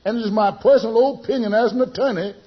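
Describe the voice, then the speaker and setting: A man's voice: high voice, fast talker. A man playing a shady, self-important cut-rate lawyer in a 1940s radio sitcom, pompously drawing out his explanations. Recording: muffled vintage radio recording.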